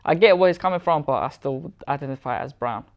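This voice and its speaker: A man speaking as an interview guest, relaxed and candid in casual conversation. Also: on the high side, quick pace, dull audio.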